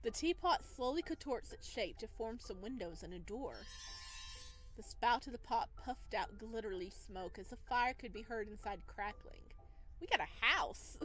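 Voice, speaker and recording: A woman reading a visual novel aloud and commenting on it in a gushing, excitable way. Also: on the high side, normal speed, low-quality recording. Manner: gushing, excitable over cute things. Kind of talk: dramatic read-aloud with excited playthrough commentary. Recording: background music behind voice.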